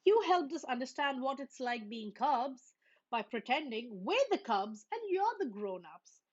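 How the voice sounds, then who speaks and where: Woman as an unhurried, explaining mama bear. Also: sits high, sing-song, darkish tone, muffled recording, full character voice. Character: mama bear, instructive, playfully teaching her cubs.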